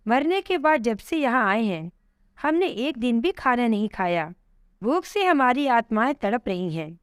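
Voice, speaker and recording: A woman's voice: on the high side, sing-song, a little slow, smooth, no room sound, studio quality. A woman voicing a miserable, starving man in hell, despairing and complaining in a storybook tale. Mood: bitter.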